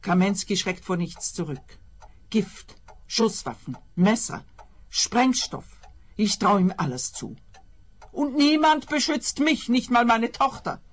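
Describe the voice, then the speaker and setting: An elderly woman in a radio drama, paranoid and full of righteous indignation. She declaims slowly and grandly, as if standing on a stage. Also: fairly high pitch, very animated, exaggerated voice. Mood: suspicious, indignant. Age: older. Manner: declamatory, melodramatic. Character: paranoid old woman, theatrical, indignant, self-righteous client. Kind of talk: radio play acting.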